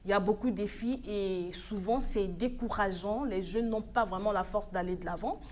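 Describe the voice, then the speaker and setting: A woman's voice: mid-range voice, normal speed, darkish tone. Congolese woman, 30s, TV studio guest; earnest, encouraging delivery, mid-range voice, faint hiss under it. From Republic of the Congo. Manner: earnest, exhorting, with hesitant restarts. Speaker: studio guest, African Union official. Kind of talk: TV news studio interview.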